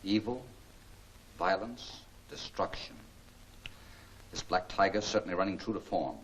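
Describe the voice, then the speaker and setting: An actor playing a confident, genial and authoritative scientist-sleuth in a 1940s film serial. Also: male voice, sits low, a little slow. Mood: resolute. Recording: faintly noisy 1940s film soundtrack.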